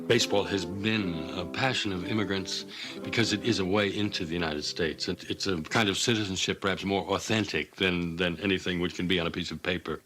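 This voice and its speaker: A man's voice: deep voice, steady tone, brisk, a bit bright. A man giving reflective, thoughtful documentary-style commentary. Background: plucked guitar music.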